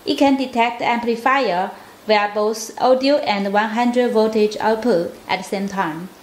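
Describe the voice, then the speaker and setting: A woman's voice: on the high side, a little slow. Woman, scripted demo narration, stilted careful delivery, roomy sound, light background noise. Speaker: product demonstrator.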